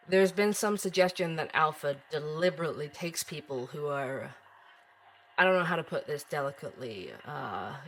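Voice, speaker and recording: Actor as wry, mid-pitched investigative podcaster. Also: a bit bright, lightly acted. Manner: wry, conversational. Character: dry-witted, persistent investigative podcaster. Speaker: podcast host and narrator. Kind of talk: scripted audio drama narration and interviewing.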